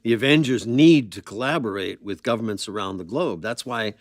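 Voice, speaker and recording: An actor playing a measured, statesmanlike president in a TV interview, speaking deliberately, gravely and diplomatically. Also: male voice, lowish voice, dry recording, studio quality, natural voice. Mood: firm, diplomatic. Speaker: politician being interviewed. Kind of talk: formal political interview.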